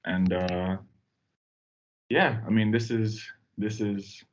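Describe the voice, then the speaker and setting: A young man from Tanzania, a podcast guest, telling his story in a relaxed, rambling way with lots of fillers. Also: on the low side, slow pace, muffled recording. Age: young adult. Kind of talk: casual podcast chat.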